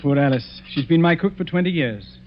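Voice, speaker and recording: Faint hiss and a dulled vintage radio sound surround a man playing a titled English-country-house sort of host. His voice sits fairly high, urbane and composed. He keeps a dryly courteous, slyly controlled tone. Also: lively intonation, brisk, natural voice. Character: cool, courteous titled gentleman host slyly laying a trap. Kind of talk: 1940s radio drama acting. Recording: dull, faintly noisy old radio recording.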